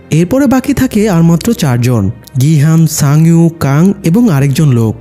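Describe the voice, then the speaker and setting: A man reads a scripted story recap in a fairly high voice. His pitch moves in lively swings, and the tone has a husky edge. Music plays underneath the narration. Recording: music behind the voice. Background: background music.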